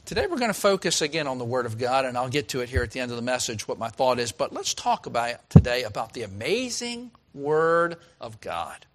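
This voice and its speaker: A pastor with a raspy voice preaching a sermon in a folksy, chatty way, asking the congregation questions. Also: male voice, mid-range voice, sing-song, brisk, few pauses, a bit bright. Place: church.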